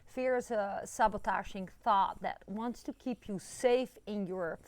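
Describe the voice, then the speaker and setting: A woman's voice: mid-range voice, unhurried, no room sound. A woman in her forties from the Netherlands with a Dutch accent, chatting encouragingly to a phone camera outdoors, with many fillers. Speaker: vlogger. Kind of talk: casual talk to camera. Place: outdoors in a rural yard. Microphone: phone camera at arm's length.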